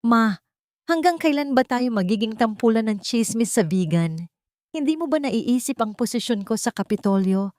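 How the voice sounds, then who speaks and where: A woman's voice: fairly high pitch, lively intonation, moderate pace, a little mellow, dry recording, studio quality, pitched above the performer's own voice, close to an everyday voice. A woman voicing a cold, bitter grown son in an audio drama, emphatic and reproachful with an elderly mother.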